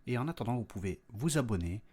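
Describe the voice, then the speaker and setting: A man's voice: mid-range voice, expressive, quick pace, mellow, slight background noise. A man narrating the scripted outro of an audio fiction episode.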